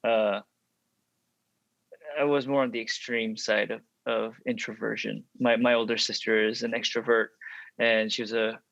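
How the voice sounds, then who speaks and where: A young adult man from Texas, an actor and podcast guest, telling stories in a relaxed interview, reflective and full of ums and restarts. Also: fairly low pitch, moderate pace, vocal fry, dry recording. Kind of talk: casual interview storytelling. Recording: dry, slightly dull sound.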